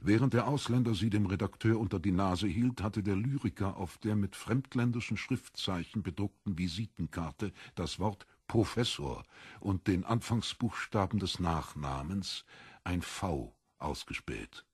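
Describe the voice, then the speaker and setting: Low gravel-rough guy reading a novel as narrator, measured, super dry recording. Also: expressive, darkish tone, dry recording. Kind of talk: scripted literary narration.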